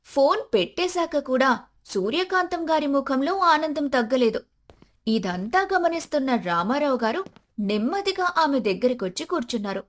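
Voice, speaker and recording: Woman, high-pitched voice, scripted moral-story narration, storyteller. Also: very animated, moderate pace.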